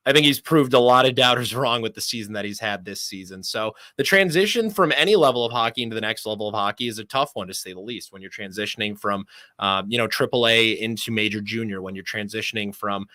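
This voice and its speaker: A young man from Michigan speaking as a sports announcer being interviewed, articulate and measured, with humble, elaborate phrasing in a conversational style. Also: on the low side, bright, forceful tone, no echo.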